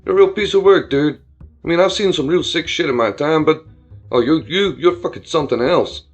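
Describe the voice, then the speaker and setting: A man doing a loud, crude frat-bro bully. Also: fairly high pitch, a little fast, lightly acted. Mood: taunting. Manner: loud, swaggering, foul-mouthed taunting. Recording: dull lo-fi with faint music.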